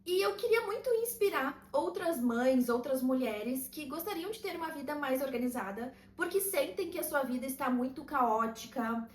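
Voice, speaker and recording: High-voiced woman in an echoey room. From southern Brazil. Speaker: vlogger and routine mentor. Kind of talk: casual talking-to-camera vlog.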